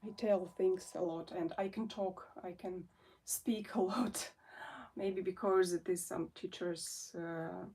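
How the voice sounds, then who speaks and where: A woman's voice: lowish voice, dark tone, breathy edge. A woman in her forties from Russia, speaking English as a second language, hosts her felting channel in a casual, hesitant chat full of fillers and restarts. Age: 40s. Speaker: felting artist and channel host. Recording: voice somewhat distant from the mic.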